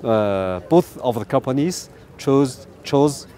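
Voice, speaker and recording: Mellow, halting Chinese-accented man. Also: medium pitch, lively intonation. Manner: halting, repeats words and phrases. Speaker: company representative being interviewed. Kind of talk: unscripted press interview. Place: outdoors beside a parked truck.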